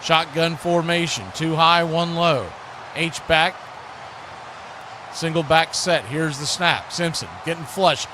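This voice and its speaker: Man, high-pitched, live sports commentary, clipped phrases, very noisy live stream. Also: fairly even intonation, slow. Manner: narrates action in short clipped phrases. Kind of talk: live American football radio-style commentary.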